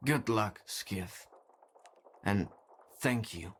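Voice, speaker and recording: A man's voice: lowish voice, a bit bright, a little hiss or hum, exaggerated voice. An actor playing a weary, remorseful man, speaking earnestly and wistfully in dramatic video game dialogue. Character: weary, remorseful man devoted to a mysterious zone.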